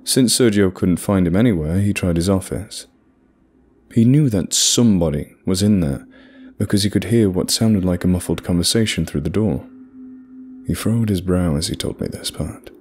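A man narrating a horror story in a measured, scripted storytelling delivery. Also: sits low, a little mellow, slightly rough.

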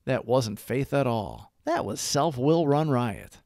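A man narrating a scripted daily recovery reflection as a devotional read-aloud, with measured, emphatic phrasing. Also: medium pitch, expressive, darkish tone, dry recording, studio quality.

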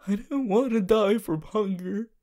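A man voicing a goofy, melodramatic teenage anime boy in a fan audio roleplay, whiny and drawn-out, talking to the listener. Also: sits high, a little mellow. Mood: melodramatic despair.

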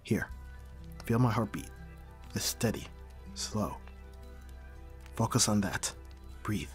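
A man acting a brooding mafia boss, hard but secretly caring, in a dramatic audio roleplay with terse, measured delivery. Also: lowish voice, animated, soft background music. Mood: low, gentle. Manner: terse, profane, sighing, measured.

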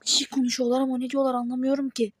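A woman voicing panicky kid characters in a homemade cartoon, with excitable exclamations. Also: high voice, very fast, breathy edge, dry recording. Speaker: cartoon voice performer.

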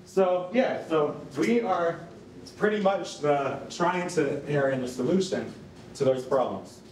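A young man giving an informal, animated live presentation in a conversational way, as a project presenter. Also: on the high side, a little slow. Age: young adult. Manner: conversational, animated, frequent "like" and "you know". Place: concrete-walled rehearsal hall before an audience. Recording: roomy, distant mic, faint noise.

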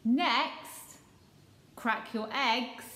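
A woman, teaching young children a simple recipe in a slow, sing-song way from her home kitchen. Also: on the high side, sharp tone, roomy sound. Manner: slow, sing-song, gestures as she explains. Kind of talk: cooking lesson for young children. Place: small home kitchen, indoors. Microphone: webcam or phone at close range.

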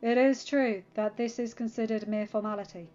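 A woman voicing a measured, formal grown man explaining the law. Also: a little high, steady tone, darkish tone, dull audio, soft background music, natural voice. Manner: precise, formal.

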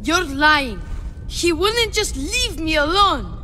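A woman's voice: sits high, very animated, slow pace, pushed and bright, big-room sound, a little hiss or hum, heavily acted. A woman voicing a young boy in a video game, pleading and accusing in grief. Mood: grieving. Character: grieving young Greek boy, stubborn. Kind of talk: video game cutscene acting.

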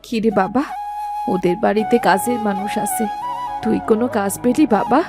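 A woman's voice: mid-range voice, steady tone, moderate pace, loud music behind the voice, close to an everyday voice. A woman voicing a poor village mother in a cartoon, with an anxious, pleading manner. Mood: worried. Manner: anxious, plaintive. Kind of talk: cartoon voice acting.

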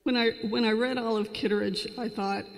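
A woman's voice: fairly high pitch, steady tone, a little fast, vocal fry. Hesitant woman asking from the floor. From Missouri, USA. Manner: hesitant, with repeated starts. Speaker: audience member asking a question. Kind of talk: question from the floor. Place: large hall, standing at an audience microphone. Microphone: stand mic. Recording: long hall reverb.

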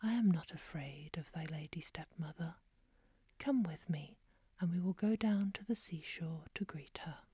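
A woman softly whispering the lines of a calm, brave young princess. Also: fairly low pitch, moderate pace, slightly rough, no room sound. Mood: reassuring. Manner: whispered, gentle and steady.

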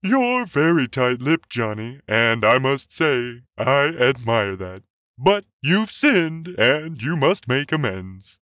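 Man acting a slow, swooping, insistent priest. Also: a little high, sing-song, fairly bright tone, no echo, studio quality, full character voice. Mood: resigned, stern. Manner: deliberate, questioning, admonishing. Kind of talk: acted dialogue in a narrated joke.

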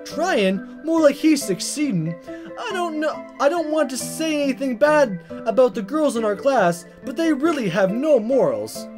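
A man's voice: very high voice, sing-song, unhurried, pitched above the performer's own voice, full character voice. A man voicing an indignant, jealous teenage schoolboy in a visual novel, ranting in exasperation. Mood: jealous, exasperated. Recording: guitar music behind.

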